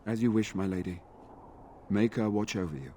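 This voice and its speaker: A man playing an earnest, courteous knight commander in a fantasy video game, speaking in a formal, measured way. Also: on the low side, dark tone, a little hiss or hum, close to an everyday voice.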